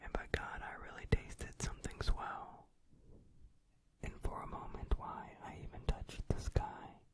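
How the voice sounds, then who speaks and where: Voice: a little slow, natural voice. A performer whispering the part of a skeleton man who laments and reproaches himself. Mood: wistful. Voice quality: whispered, unvoiced. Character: despairing skeleton man, remorseful king. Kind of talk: whispered song cover, ASMR.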